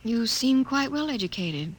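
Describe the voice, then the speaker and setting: An actress playing a cool, repressed professor of the future in a classic radio drama, speaking in a clipped, formal, clinical way. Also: female voice, fairly high pitch, animated, measured pace. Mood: taken aback.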